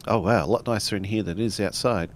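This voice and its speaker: A man's voice: fairly low pitch, quick pace, slight background noise. A man improvising casually as a player in a tabletop roleplaying game.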